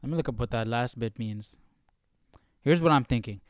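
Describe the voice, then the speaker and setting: A man hosting a live Bible study in a casual, questioning way, thinking out loud as he reads and comments. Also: mid-range voice, normal speed, no room sound, studio quality, faint music behind.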